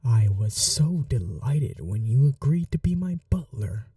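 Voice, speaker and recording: A woman playing a possessive, wounded vampire mistress in an intimate ASMR roleplay, speaking softly and breathily, often in whispers, with slow, tender coaxing. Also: a bass voice, expressive, husky, no room sound, recorded from a distance, exaggerated voice. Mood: wounded, bitter.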